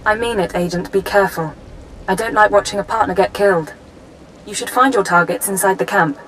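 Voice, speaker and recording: Actress as a brisk female intelligence analyst, noisy ambience. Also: medium pitch, lightly acted. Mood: concerned, urgent. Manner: crisp, businesslike briefing. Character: brisk, composed female Imperial intelligence analyst, genetically engineered genius. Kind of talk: scripted video game dialogue.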